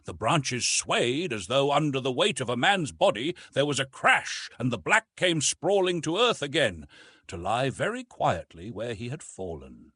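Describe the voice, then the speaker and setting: A man's voice: mid-range voice, sing-song, unhurried, fairly bright tone, breathy edge, no echo. A man narrating an adventure novel as an audiobook in a theatrical, suspenseful storytelling style.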